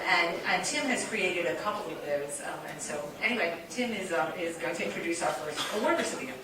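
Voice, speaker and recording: A woman hosting an event, introducing presenters informally and haltingly as she searches for words. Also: fairly low pitch. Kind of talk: informal spoken introduction to an audience. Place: large echoey event room. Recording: echoey hall, distant microphone.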